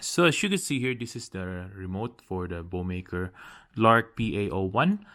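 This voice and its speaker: A man's voice: sits low, expressive, moderate pace, over loud music. A man narrating a casual hands-on product demo, pointing things out piece by piece in short phrases. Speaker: product reviewer.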